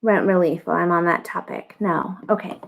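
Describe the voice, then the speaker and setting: A woman's voice: on the low side, normal speed, vocal fry. An American woman in her thirties hosting a live Q&A stream, her throat scratchy, chatty and thinking aloud with lots of ums. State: scratchy throat, clearing it often. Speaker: livestream host answering viewer questions. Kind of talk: casual live Q&A.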